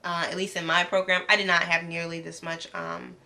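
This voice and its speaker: A woman's voice: fairly low pitch, flat delivery, brisk, bright, forceful tone. A woman in her late twenties, a medical student, talking earnestly to camera in a casual vlog, with ums and restarts. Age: 20s.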